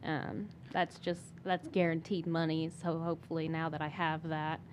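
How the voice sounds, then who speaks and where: A woman's voice: low-pitched, flat delivery, normal speed, dull audio, slight background noise. A young woman speaking casually and personally as a guest in an interview.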